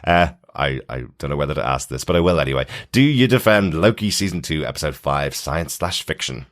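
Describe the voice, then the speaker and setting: A man with an extremely deep voice hosts a podcast. He talks in a casual, conversational style, recapping television in an easy back-and-forth manner. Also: lively intonation, fairly quick. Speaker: podcast host. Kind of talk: casual chat, TV episode recap.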